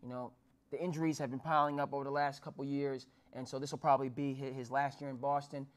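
A man's voice: mid-range voice, little pitch movement, fast. A man in his thirties from New Jersey hosting a sports talk show, using formal phrasing with frequent fillers and restarts. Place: small studio with dark backdrop.

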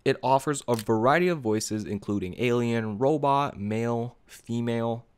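A man presenting a scripted software review as a voice-over, speaking casually and directly to viewers. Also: mid-range voice, normal speed, clear, resonant tone. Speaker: video creator and presenter.

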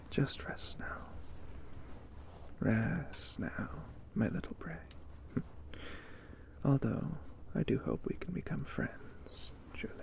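A man voicing a gentle, courtly vampire catboy in a breathy, soothing ASMR roleplay, formal in his wording. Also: mid-range voice, lively intonation, full character voice. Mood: soothing, wistful. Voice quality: breathy whisper. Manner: slow, hushed, formal and soothing. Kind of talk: soft whispered ASMR roleplay.

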